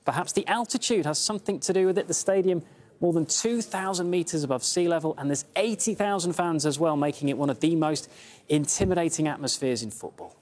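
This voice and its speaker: A news presenter narrating a scripted sports report in a brisk, informative way. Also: medium pitch, a little mellow.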